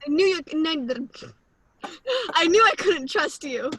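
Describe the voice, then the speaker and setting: A woman's voice: high voice, animated, slow pace, a bit bright, a little hiss or hum, exaggerated voice. A woman playing a bitter, defiant captured spy in an amateur musical script reading, accusing in manner. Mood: distrustful.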